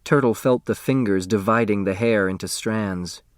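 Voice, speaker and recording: A man narrating a children's novel as an audiobook, with expressive, deliberate storytelling on a close studio microphone. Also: lowish voice, no echo.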